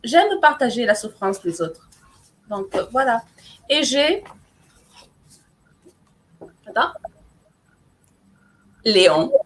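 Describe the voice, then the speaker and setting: A woman's voice: on the high side, sing-song, slow pace, long pauses, a little hiss or hum, low-quality recording. Playful woman. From Portuguese-speaking country. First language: Portuguese. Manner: playful, teasing, self-mocking. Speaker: author guest. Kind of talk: casual live chat.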